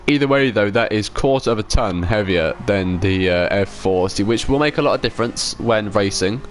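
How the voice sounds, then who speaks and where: Male game streamer, lowish voice, casual rambling commentary, self-correcting, conversational. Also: moderate pace, slight background noise. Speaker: livestream host.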